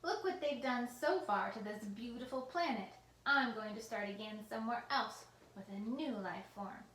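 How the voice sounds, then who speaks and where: A woman voicing a furious, scheming male villain scientist who yells and cackles at a computer. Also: on the high side, moderate pace, slight background noise, lightly acted. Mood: gloating. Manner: yelling, cackling, turns syrupy. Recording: roomy echo with faint ambience.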